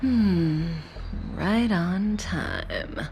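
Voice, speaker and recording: An actress playing a cool, enigmatic manipulator in an English game dub, coaxing and composed. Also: female voice, mid-range voice, extremely slow, over music.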